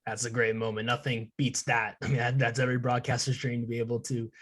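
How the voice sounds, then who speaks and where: A young man hosting a casual student podcast interview, agreeable and somewhat halting, repeating words as he goes. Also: medium pitch, flat delivery, fairly bright tone. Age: young adult.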